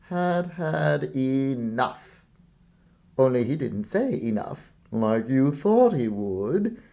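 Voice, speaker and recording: A man reading a children's picture book aloud in a theatrical, expressive way with dramatic pauses. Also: medium pitch, muffled recording. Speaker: storybook reader.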